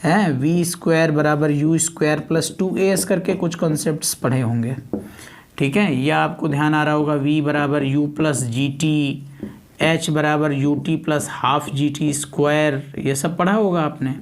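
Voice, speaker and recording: A man's voice: fairly high pitch, steady tone, measured pace. Man teaching like a coaching instructor. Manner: explanatory, repeats key terms and poses rhetorical questions; code-switches into English technical terms. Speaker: physics teacher. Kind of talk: exam coaching lecture with everyday examples.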